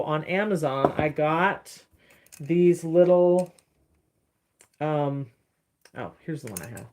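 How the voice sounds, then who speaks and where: Deep-voiced woman, casual livestream chat, rambling, answering comments, phone mic. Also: a little mellow. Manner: chatty, rambling, reading and answering viewer comments. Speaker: encaustic artist streaming live.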